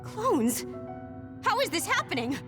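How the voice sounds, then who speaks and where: An actress playing an excitable, panicky young woman with a girlish voice in an English video game dub. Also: very high voice, a little slow, over loud music, full character voice. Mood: alarmed.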